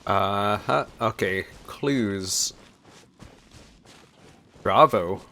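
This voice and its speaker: Slow-musing man doing gameplay reactions. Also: on the low side, pushed and bright, slight background noise. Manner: musing, thinks aloud. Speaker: gaming video creator. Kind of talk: casual reaction commentary over gameplay.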